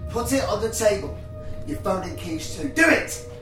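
Frantic young man , played by a woman, lowish, echoey, off mic, faint music. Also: animated, moderate pace, recorded from a distance, natural voice. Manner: frantic, shouting orders. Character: desperate, self-harming young man Jack. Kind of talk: audio drama acting.

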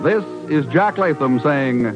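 A man announces over music on a dull vintage broadcast. His voice is fairly high, formal and polished, delivering scripted copy in classic 1950s radio style. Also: animated, a little slow.

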